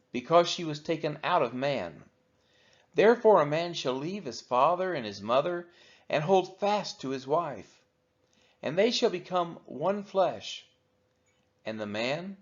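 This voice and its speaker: A man reading a Bible chapter aloud for a church, measured and falling at the end of each phrase. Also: fairly high pitch. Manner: measured, falls at phrase ends. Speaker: church scripture reader. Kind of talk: steady scripture reading. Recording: dull lo-fi audio.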